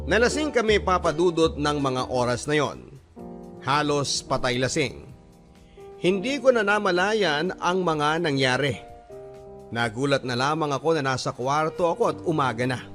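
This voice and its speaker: A wounded letter sender telling a personal story in a Filipino radio drama. His voice is on the high side, in scripted dramatic narration. Soft music plays faintly behind him. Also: expressive, normal speed. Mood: pained recounting.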